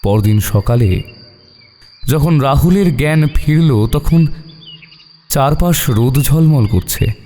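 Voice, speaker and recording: A man's voice: mid-range voice, lively intonation, takes it slowly, pauses often, dark tone, a little hiss or hum. A man narrating a scripted horror story in a dramatic storytelling style. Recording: long reverb, background music bed.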